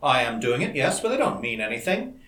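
A man voicing a shrewd lawyer father of fifty in a storybook, dry, measured and mock-stern. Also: mid-range voice, sing-song, sharp tone. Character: shrewd gray-haired lawyer father of fifty, dryly evasive. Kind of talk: old-fashioned storybook dialogue. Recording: roomy, echoing indoor recording.

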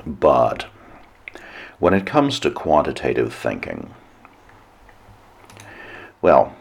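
A very deep, gravelly male voice, hoarse and nearly gone, speaking slowly. He delivers a solo radio monologue in a measured, lecturing way with dry little asides. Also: very animated. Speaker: radio show host.

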